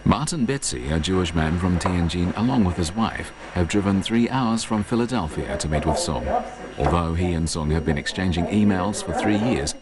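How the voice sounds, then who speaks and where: A man reading scripted documentary narration in a measured, even way. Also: deep voice. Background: loud music.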